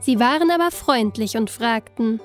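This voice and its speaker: A woman's voice: on the high side, animated, moderate pace, faint music behind. A woman narrating a scripted fairy tale expressively, with careful storytelling phrasing.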